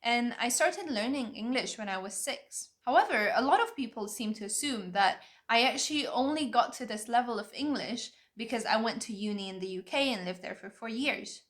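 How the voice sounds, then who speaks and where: A woman's voice: on the high side, animated, normal speed, few pauses, a bit bright. A young woman whose first languages are Vietnamese and Hungarian, talking to camera in an earnest, opinionated way as she explains step by step. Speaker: language-learning video creator. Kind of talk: talking-head advice video.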